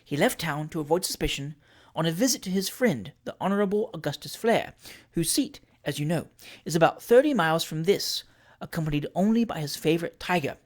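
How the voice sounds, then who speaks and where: Narrator playing storybook lady, forty: cool, self-possessed schemer, quiet, measured, dry and imperious. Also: mid-range voice, expressive, close to an everyday voice. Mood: composed.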